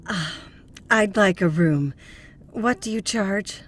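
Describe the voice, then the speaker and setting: A woman's voice: medium pitch, slow pace, slight background noise, natural voice. A woman playing an anxious but assertive feminist psychotherapist in an audio drama, with an earnest manner. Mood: hesitant.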